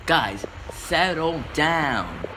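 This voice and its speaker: A man's voice: on the high side, sing-song, very slow, exaggerated voice. A male performer playing a polite 1920s radio show co-host in an amateur scripted interview. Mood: politely probing. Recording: clear noise behind the voice.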